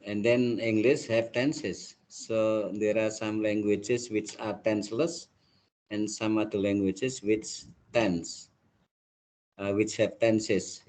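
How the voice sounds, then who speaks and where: A man from Indonesia with an Indonesian accent, a native Indonesian speaker, lecturing a class on linguistics in a halting way, often saying "let's say, like". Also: lowish voice, flat delivery, darkish tone.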